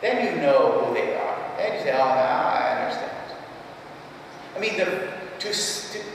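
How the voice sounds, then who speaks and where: Male speaker, fifties, fairly high voice, emphatic keynote teaching with deliberate repetition, heard in a very reverberant hall. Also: expressive. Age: 50s. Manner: emphatic, halting, repeats key phrases for weight; poses rhetorical questions to the audience. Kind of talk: live keynote address, storytelling religious teaching. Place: large reverberant hall before an audience. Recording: echoey hall, distant mic, faint noise.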